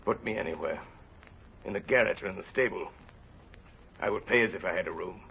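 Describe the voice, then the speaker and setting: A man acting a kindly, gentle poor traveller in an old radio drama, hesitant and fatherly. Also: low-pitched, a little fast, vocal fry. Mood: quietly firm. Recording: dull, faintly noisy old radio recording.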